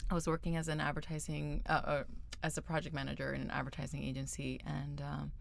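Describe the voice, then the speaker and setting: Low, gravelly woman co-hosting a podcast. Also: monotone, quick pace, slight background noise. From Venezuela. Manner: laughs often, many ums. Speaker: podcast co-host. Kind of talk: casual chat. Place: California.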